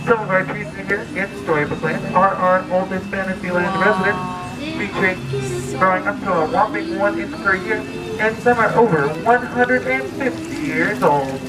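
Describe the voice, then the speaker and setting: A man working as a boat ride guide, narrating a scripted storytelling tour with theatrical, drawn-out emphasis on key words. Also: high-pitched. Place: outdoors aboard a tour boat. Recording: distant amateur recording with loud background music. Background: music and ride ambience.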